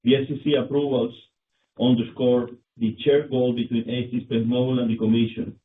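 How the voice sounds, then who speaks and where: A male company executive reading scripted financial results on an earnings call in a formal, somewhat halting manner. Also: medium pitch, flat delivery, far from the mic. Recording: muffled phone line, roomy, clicks, noise.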